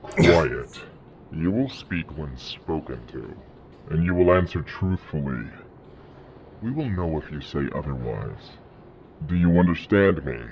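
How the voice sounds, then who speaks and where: Very deep, menacing crime boss. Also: male voice, expressive, a little mellow, highs cut off, slight background noise, exaggerated voice. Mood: cold menace. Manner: slow, deliberate, threatening. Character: menacing male gang leader, calm, commanding interrogator. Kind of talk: audio drama acting.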